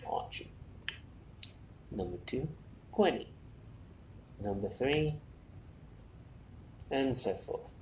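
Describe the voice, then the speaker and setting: A man narrates a short story in a low, gravelly voice. His reading is expressive, and it is recorded in an echoey room with a little noise behind it. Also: lo-fi sound. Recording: roomy echo, faint noise, rumble.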